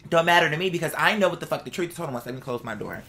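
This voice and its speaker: A woman's voice: sits low, animated, very fast, a bit bright, faint music behind. A woman ranting casually to her viewers, blunt, sassy and exasperated, with emphatic, slangy, profanity-laced delivery. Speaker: vlog host. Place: indoors at home.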